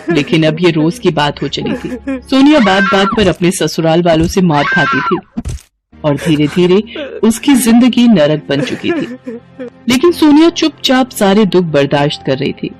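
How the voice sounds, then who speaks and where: A woman's voice: mid-range voice, huge pitch swings, normal speed. A woman narrating a scripted moral tale in a dramatic storytelling style. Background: background music.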